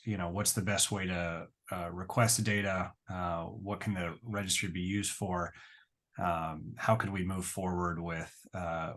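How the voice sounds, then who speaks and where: A man's voice: low-pitched, fairly bright tone. A man, an intensivist, introduces himself on a webinar in an earnest way, with many fillers. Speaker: intensivist, registry chair.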